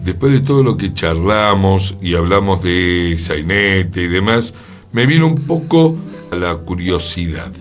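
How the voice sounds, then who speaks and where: Animated Buenos Aires man hosting radio. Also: sits low, unhurried, soft background music. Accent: Argentine, Buenos Aires. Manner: chatty, storytelling, poses questions to co-host. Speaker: radio host. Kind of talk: conversational radio presenting about theatre history.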